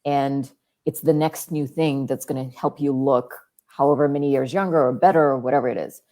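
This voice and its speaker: Deep calm woman, very low voice. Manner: calm, unhurried, deep and soothing. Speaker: guest. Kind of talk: casual chat about skincare.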